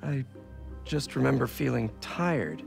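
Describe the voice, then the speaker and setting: A young superhero man from a dramatic video game, arrogant and bitter. His pitch moves a lot as he justifies himself. Loud music runs under his voice. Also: big pitch swings, a little slow, vocal fry, full character voice. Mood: subdued. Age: young adult.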